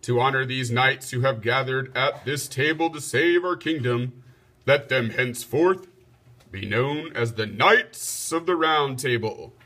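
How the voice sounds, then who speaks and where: A man's voice: mid-range voice, unhurried, sharp tone, rough recording. A man voicing a commanding, stately storybook king, formal and declamatory. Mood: proud announcing. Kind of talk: storybook read-aloud.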